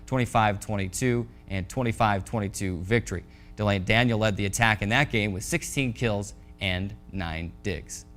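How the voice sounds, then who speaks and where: An American man hosting a sports show, reading a scripted recap of scores in a polished announcer delivery. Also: medium pitch. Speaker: sports show host and play-by-play voice.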